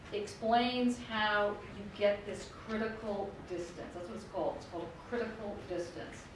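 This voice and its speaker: A middle-aged woman giving a university lecture in a conversational, wry manner, circling back to her points. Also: mid-range voice. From United States. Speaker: professor. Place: lecture room. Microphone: room mic far from speaker. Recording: echoey room, distant mic, background noise.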